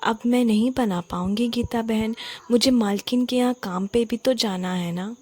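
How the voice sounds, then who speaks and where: A woman voicing a humble, meek poor maid and mother in a children's cartoon, deferential, apologetic and soft-spoken. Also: a little high, moderate pace, breathy edge. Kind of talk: Hindi children's cartoon story acting.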